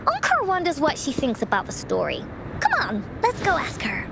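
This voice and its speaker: A woman voicing a cheerful, excitable little girl adventurer in a video game, playful and bubbly. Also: sits high, normal speed, vocal fry, noisy background, heavily acted. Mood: bubbly, confident. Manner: refers to self in third person, bubbly. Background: music.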